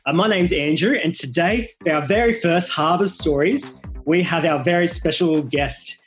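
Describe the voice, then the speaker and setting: A man's voice: on the high side, moderate pace, faint music behind. A young Australian man hosting a podcast interview, chatting in a casual, jokey, matey way.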